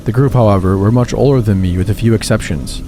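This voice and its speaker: Low-voiced man reading horror stories. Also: fast talker, music in the background. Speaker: channel host reading submitted stories. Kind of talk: scripted horror story narration.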